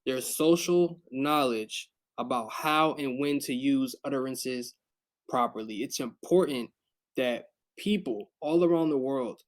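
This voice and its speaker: A man student recording a video presentation for class, speaking in deliberate, measured phrases. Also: medium pitch.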